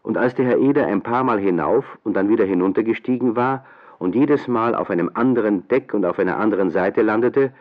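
Narrator for children's radio play: low-voiced man, scripted storytelling, narrow vintage sound. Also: fairly quick. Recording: thin, band-limited old radio play.

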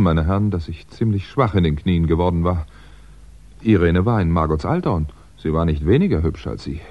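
An actor playing a polite, brooding, middle-aged crime writer in a 1960s German radio play, speaking in a measured, dryly understated way. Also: male voice, deep voice, a little mellow. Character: hunted male crime novelist, polite, brooding, wry. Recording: dull 1960s radio recording.

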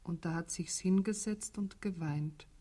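A woman's voice: fairly low pitch, unhurried, dark tone, slightly rough. An actress playing a melancholy woman in a radio drama, speaking with simple, solemn delivery. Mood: desolate, wistful. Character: melancholy woman telling a bleak fairy tale.

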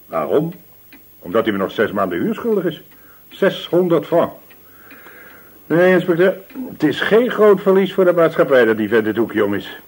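Callous landlord, very lively intonation. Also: male voice, a little high, normal speed, breathy edge. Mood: disdainful, smooth. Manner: disdainful, evasive, complaining. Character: callous, gossipy, self-justifying male landlord. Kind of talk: Dutch radio play dialogue.

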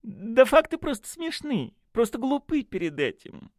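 Excitable, high-pitched little priest. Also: male voice, normal speed, no echo, studio quality. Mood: delighted, insistent. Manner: exclaiming, flustered, gushing. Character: excitable, apologetic, stubby little male priest with childlike wonder. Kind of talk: audiobook character acting.

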